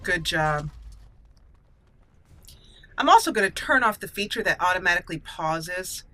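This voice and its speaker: A woman's voice: lowish voice, animated, a little slow, bright, forceful tone, no room sound, soft background music. A woman giving casual roleplay commentary as she plays a game, talking to her character and musing in role. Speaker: gaming channel host. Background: video game sounds.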